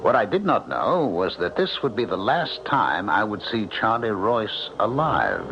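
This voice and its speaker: A skeptical male doctor, speaks with theatrical emphasis and sweeping intonation. He is stubborn and scoffing, whether narrating or in dialogue. The old radio recording is muffled, with clear noise throughout. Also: lowish voice, sing-song, measured pace. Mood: somber, reflective. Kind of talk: old-time radio drama acting and narration. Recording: dull, noisy 1970s radio broadcast.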